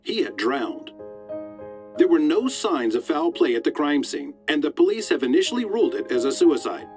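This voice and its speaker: An actor playing a formal, matter-of-fact television news reporter in a game story. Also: male voice, a little high, very animated, normal speed, a little mellow. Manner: formal, announcer delivery. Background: music behind the voice.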